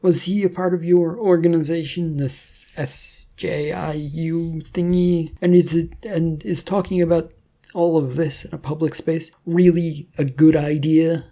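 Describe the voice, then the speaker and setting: Reluctant young male storybook hero, voiced by a narrator: flustered, stumbling over questions, soft dark tone. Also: medium pitch, steady tone, unhurried. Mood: incredulous, hesitant. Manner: hesitant, stammering, questioning.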